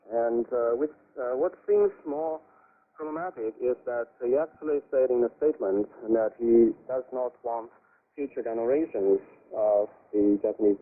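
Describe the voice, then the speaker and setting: Halting academic man on lo-fi shortwave radio. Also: mid-range voice. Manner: halting, measured. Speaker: academic guest commentator. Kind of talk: radio discussion, extended analysis. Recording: dull lo-fi shortwave radio broadcast.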